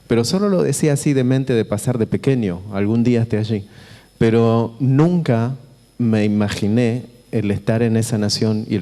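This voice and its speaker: A man from Buenos Aires with an Argentine accent, preaching informally to a congregation in a conversational, halting way, repeating phrases and checking in with his listeners. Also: lowish voice, warm tone. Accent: Argentine Spanish. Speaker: visiting preacher. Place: church auditorium. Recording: reverberant hall with long echo.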